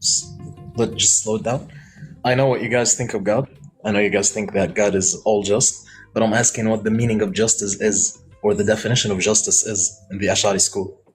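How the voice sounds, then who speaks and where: A man's voice: fairly low pitch, fairly even intonation, fairly quick, faint music behind, rough recording. A man in a live debate, pressing with probing, insistent questions. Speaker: debate participant.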